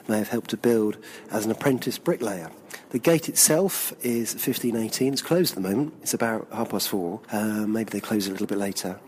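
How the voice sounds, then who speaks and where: A man's voice: on the low side, darkish tone, a little hiss or hum. A man working as a walking tour guide, chatting casually on location while he walks through streets and old courtyards, full of dates and trivia.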